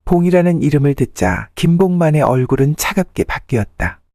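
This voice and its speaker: A man's voice: a little high, lively intonation, a little fast, darkish tone, no echo, clean studio sound. A man narrating a folktale from a script as the storyteller.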